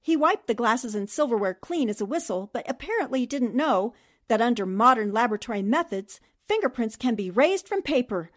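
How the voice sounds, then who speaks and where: A woman's voice: a little high, very animated, a little fast. A woman playing a shrewd, self-assured newspaperwoman sleuth in 1940s radio mystery style, explaining things precisely and methodically. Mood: confident, methodical.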